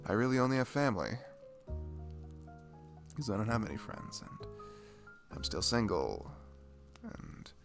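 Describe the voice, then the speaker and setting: A man's voice: lowish voice, very animated, gravelly, faint music behind, full character voice. A man playing a dorky, shy, lonely young best friend in an intimate ASMR roleplay, rambling hesitantly and putting himself down. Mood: shy, downcast. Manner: hesitant, self-deprecating, halting with fillers and sighs.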